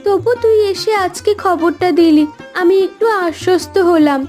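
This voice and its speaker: A woman playing a devoted, anxious, soft-hearted young married daughter in a cartoon, with an emotional, pleading way of talking. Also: very high-pitched, normal speed, soft background music. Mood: grateful, worried. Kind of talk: Bengali cartoon voice acting.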